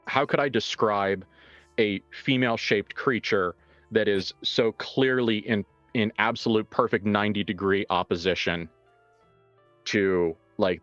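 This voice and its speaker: Deep-voiced man, thoughtful and halting. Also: a bit bright, no echo, dull audio. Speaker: game master and writer of an actual-play show. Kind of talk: casual reflective discussion, answering questions.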